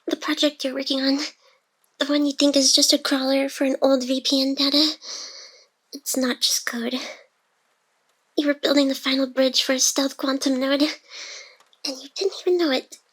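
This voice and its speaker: A woman playing a playful, wounded young female AI hacker, secretly devoted, in intimate ASMR roleplay with breathy, halting, teasing speech that often drops to a whisper. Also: sits high, level tone, a bit bright. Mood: urgent, intimate.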